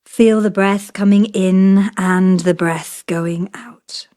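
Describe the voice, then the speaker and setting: Slow-paced woman guiding deep relaxation. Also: steady tone, mellow. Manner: soothing, measured, with long pauses between short phrases. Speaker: yoga nidra teacher. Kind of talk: guided relaxation meditation.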